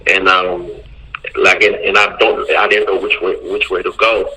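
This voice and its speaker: A man's voice: low voice, little pitch movement, moderate pace, pushed and bright, slight background noise. A man in his thirties from Haiti with a Haitian accent, calling in and talking hesitantly with many fillers and restarts. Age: 30s. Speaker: caller. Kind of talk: casual phone call. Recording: phone line.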